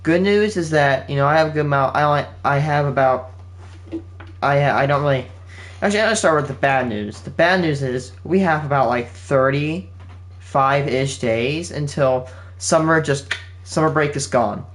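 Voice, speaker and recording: A man's voice: on the high side, normal speed, a bit bright. An eighteen-year-old man giving his channel's viewers a rambling, casual update from home, often restarting his sentences. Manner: rambling, with frequent restarts and fillers. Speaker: channel host. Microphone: home setup with game console running nearby.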